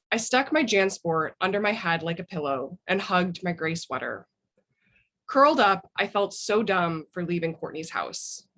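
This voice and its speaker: A woman reads a personal memoir aloud as its author. The voice sits a little low for a woman, and the delivery is very animated, with pitch moving widely through the narration. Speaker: memoirist guest reading from their book. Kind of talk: expressive reading of a personal memoir.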